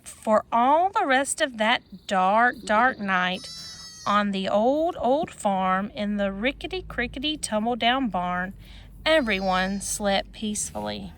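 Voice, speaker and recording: A woman reading a rhyming picture book aloud to children in an expressive sing-song manner, with chatty asides, outdoors on a farm with goats. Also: medium pitch, takes it slowly, slight background noise.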